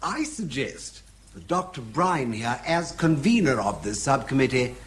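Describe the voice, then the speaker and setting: Pompous, animated council chairman. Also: medium pitch, unhurried. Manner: formal, pompous, circumlocutory. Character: pompous, self-important scientific council chairman. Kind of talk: radio drama acting.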